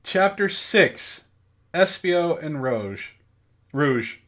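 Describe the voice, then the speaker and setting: A man's voice: a little high, lively intonation, very slow, a bit bright. A man co-hosting a comedic book club, reading amateur fiction aloud in a mock-serious way with joking asides. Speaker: podcast co-host and story reader.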